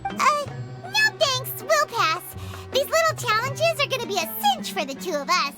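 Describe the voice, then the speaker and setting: A woman voicing a tiny, cheerful, childlike fairy companion with a squeaky voice in a video game. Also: big pitch swings, normal speed, over loud music. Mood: perky, insistent. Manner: refers to self by name, exclamatory. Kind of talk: animated video game voice acting.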